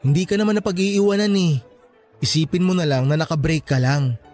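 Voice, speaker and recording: A man acting an encouraging, teasing young college graduate with an earnest, animated delivery in a radio drama. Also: a little high, brisk, soft background music, natural voice. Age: young adult.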